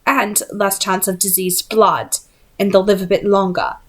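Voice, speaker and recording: A woman's voice: mid-range voice, flat delivery, a little slow, lightly acted. Woman reading as a cocky, impatient teenage boy hunter. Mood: smug. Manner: cocky, insistent. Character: bold, impatient seventeen-year-old male demon hunter.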